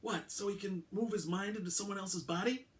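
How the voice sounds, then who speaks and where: A man voicing a gruff, skeptical male police detective who is blunt, exasperated and swears freely. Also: sits high, fairly quick, voice pushed up, close to an everyday voice.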